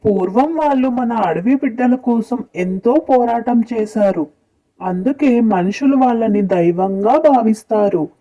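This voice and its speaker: A woman's voice: fairly high pitch, unhurried, warm tone. A woman voicing a wise, kindly old grandfather eagle teaching youngsters in a children's cartoon story. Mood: kindly, instructive. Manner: instructive, storytelling elder. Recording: slightly dull, muffled sound.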